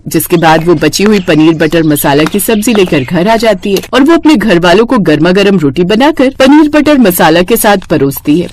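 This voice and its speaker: A woman narrating a scripted cartoon story in an expressive storytelling manner. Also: on the low side, no echo.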